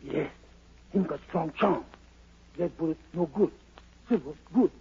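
Creaky, grim tracker, broken English. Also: male voice, on the high side, measured pace. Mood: grim certainty. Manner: clipped broken pidgin English. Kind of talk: 1940s radio drama acting. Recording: muffled, noisy old radio recording.

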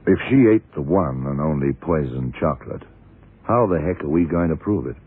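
An actor playing a shrewd, methodical police detective in an old radio drama, questioning in a deliberate, probing way. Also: male voice, extremely low pitch, lively intonation, husky, heavily acted. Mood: pondering. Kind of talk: 1960s-70s radio drama acting. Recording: tinny, muffled old radio recording.

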